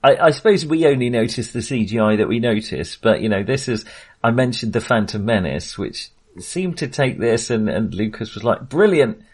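A man's voice: medium pitch, animated, normal speed, husky. A man co-hosting a podcast, chatting casually about films in a hesitant, agreeable conversational manner. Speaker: film podcast co-host. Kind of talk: casual, conversational film chat.

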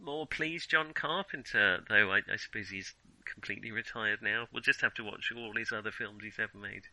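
A man co-hosting a film podcast in casual discussion, giving emphatic opinions and halting as he thinks aloud. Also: lowish voice, pushed and bright, rough recording.

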